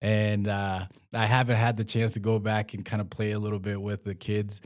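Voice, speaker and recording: Low-voiced man with a halting delivery. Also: little pitch movement. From Mexico. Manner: hesitant, many fillers and restarts. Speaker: community health worker and former pro soccer player. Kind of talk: casual interview conversation.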